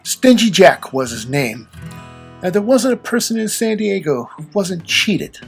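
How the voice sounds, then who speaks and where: A performer narrating a folktale audio drama in a measured, theatrical storybook manner with a husky, gravelly voice. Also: mid-range voice, sing-song, soft background music. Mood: dramatic.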